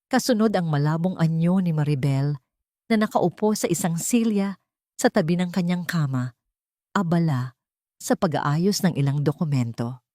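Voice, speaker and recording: A woman tells a dramatic story in a low voice. Her reading is solemn and emotive, clearly scripted. The sound is very dry, with almost no room around it. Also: moderate pace, warm tone, no room sound. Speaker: story narrator.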